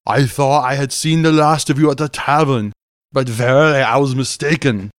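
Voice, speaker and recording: A man acts out a brash barbarian warrior from a fantasy audiobook. He declaims in archaic phrases, loud and blunt. The recording is close and dry. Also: mid-range voice, fairly even intonation, moderate pace, no echo, lightly acted.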